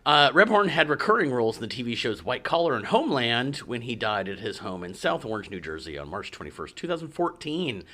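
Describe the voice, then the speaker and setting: Brief: male podcast host, bright and animated, casual movie chat. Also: medium pitch, brisk, few pauses. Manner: reads actor trivia, chatty with fillers.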